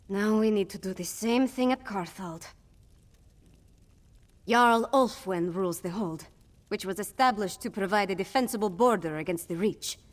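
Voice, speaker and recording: A woman's voice: medium pitch, close to an everyday voice. A woman playing a young, resolute and diplomatic princess in a fantasy video game. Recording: long hall reverb, music behind. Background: game music.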